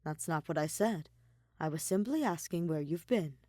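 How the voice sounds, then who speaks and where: Woman as a clipped, low-voiced, unflappable male scholar. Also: expressive, normal speed, warm tone, no echo, clean studio sound. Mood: clipped, defensive. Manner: clipped, composed, petty. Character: cool, unflappable, pedantic young male scholar, needling.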